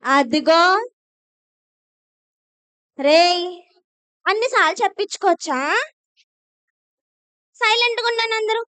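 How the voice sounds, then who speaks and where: A woman's voice: very high-pitched, lively intonation, slow, long pauses, bright, forceful tone, dry recording. A woman hosting a Sunday school puppet show, addressing children live in a bossy, teacherly way. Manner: bossy, teacherly, repeatedly instructing.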